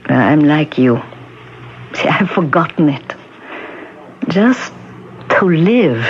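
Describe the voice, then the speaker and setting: A woman's voice: sits low, big pitch swings, slow, full character voice. An actress in a radio drama playing a plainspoken, blunt, world-weary woman who has survived great suffering. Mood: weary, guarded. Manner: blunt, terse. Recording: dull, noisy old radio recording.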